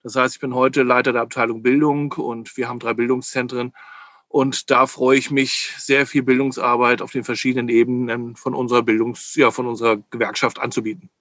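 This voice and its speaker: A man from Hamburg, a podcast guest who talks hesitantly and fills his pauses with many "äh" sounds. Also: medium pitch, level tone, a bit bright, dry recording. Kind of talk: casual chat.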